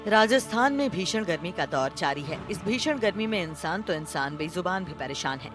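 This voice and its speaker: A man reading a scripted news voice-over in a measured, lively reporting manner. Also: high-pitched, music in the background.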